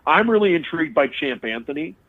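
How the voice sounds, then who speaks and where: A man's voice: a little high, expressive, brisk. A male radio sports broadcaster, a guest on a sports talk show, who breaks down teams and players analytically and restarts and repeats words often. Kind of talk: casual sports talk interview. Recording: tinny phone line.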